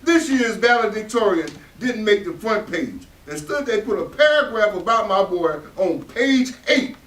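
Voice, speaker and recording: A man acting a stern, bitter father in a stage play. His voice is high for a man and his manner domineering and profane. It is picked up from a distance in a very roomy space. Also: lively intonation, moderate pace, a bit bright. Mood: irritated. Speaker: actor in a readers theatre play. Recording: roomy stage pickup from far off.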